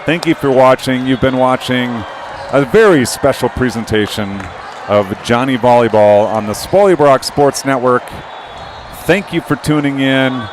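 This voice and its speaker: A middle-aged man hosting a courtside broadcast sign-off, joking and rambling as he talks loudly. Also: medium pitch, unhurried. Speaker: broadcast host. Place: loud gym with a crowd. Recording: noisy crowded gym. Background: crowd cheering, balls bouncing.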